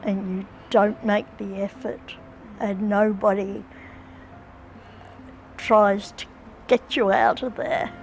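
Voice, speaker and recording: A woman's voice: medium pitch, little pitch movement, takes it slowly, pauses often. An older woman giving a personal testimony. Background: music.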